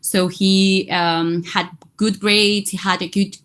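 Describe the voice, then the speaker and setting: Halting woman presenting in a webinar. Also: medium pitch, little pitch movement, fairly bright tone, clean tone. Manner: halting, many restarts and fillers. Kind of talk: webinar talk in English.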